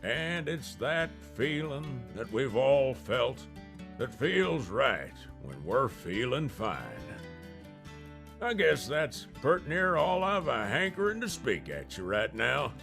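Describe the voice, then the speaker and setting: A man giving a folksy monologue with a slow drawl and rural turns of phrase. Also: medium pitch, sing-song, creaky, over music.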